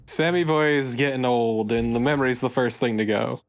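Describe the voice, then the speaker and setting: Wry young man with a medium-pitched voice. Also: normal speed, poor audio. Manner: wry, profane. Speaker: let's play co-host. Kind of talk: casual joking gameplay chat.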